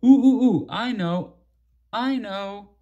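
A man voicing an excitable, theatrical storybook character who is easily alarmed and exclaims dramatically. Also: sits high, slow pace, dull audio, pitched above the performer's own voice. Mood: eager.

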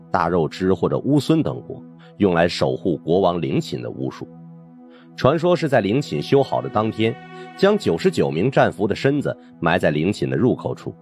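Low, animated male audiobook narrator. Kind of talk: scripted suspense story narration.

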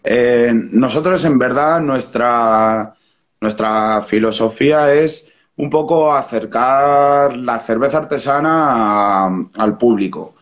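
A man's voice: medium pitch, slow. Spanish man chatting loosely on a video call. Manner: relaxed, rambling, with many restarts and fillers. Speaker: brewer and brewery founder, guest. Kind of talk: casual interview over video call. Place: small room at home, indoors. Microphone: webcam or laptop mic on a video call.

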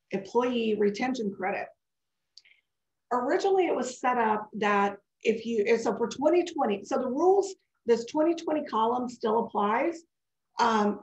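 A woman's voice: fairly high pitch, a little mellow. Woman, scratchy and congested, chatty and digressive, live webinar, echoey home office, muffled webcam audio. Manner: chatty and digressive, answering questions. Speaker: webinar presenter. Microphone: webcam video call. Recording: dull echoey video-call audio.

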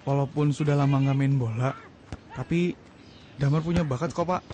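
An actor playing an earnest, polite young football player in a radio drama, gently persuasive. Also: male voice, medium pitch, normal speed, slight background noise, close to an everyday voice.

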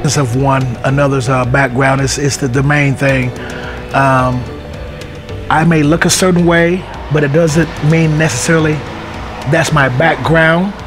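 A middle-aged man gives hesitant, thoughtful interview answers in a fairly high voice. Guitar music plays clearly behind him. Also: fairly even intonation, unhurried. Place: indoor room at school.

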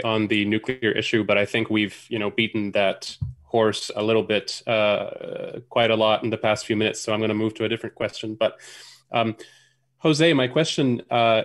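A man in his thirties asking a long question on a video call panel, casual and hesitant, wearing headphones. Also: on the low side. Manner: casual, hesitant with many restarts. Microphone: headphones with webcam.

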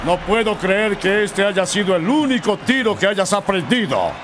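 An actor playing an intense, competitive football character in a cartoon, emphatic. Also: male voice, sits high, animated, normal speed, background noise. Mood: stern. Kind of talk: animated sports cartoon dialogue. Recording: loud music behind.

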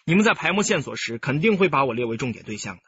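A man's voice: mid-range voice, sing-song, a bit bright, highs cut off. An actor playing a composed, candid young male music teacher, speaking politely and carefully in a radio drama. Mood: calm, candid. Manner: polite, measured, explanatory.

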